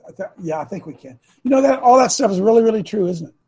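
Raspy older man, preachy and phlegmy. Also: high voice, expressive, fast talker, dark tone. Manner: preachy, rambling, frequent throat clearing. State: phlegmy, coughing. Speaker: talk show host. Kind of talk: casual political commentary.

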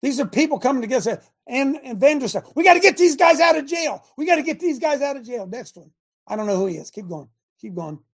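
A male talk-show host with a high, gravelly voice that turns husky at the edges. He talks emphatically, exclaiming to his viewers and calling out instructions to his producer. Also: very animated, fast talker. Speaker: host of a live talk stream. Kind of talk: casual live-stream talk show.

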